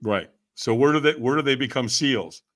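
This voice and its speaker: Older male podcast guest. Energetic, emphatic raconteur with casual slang, voices both sides of remembered exchanges. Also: medium pitch, normal speed. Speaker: podcast guest, firewalking event leader. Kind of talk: energetic storytelling in casual interview.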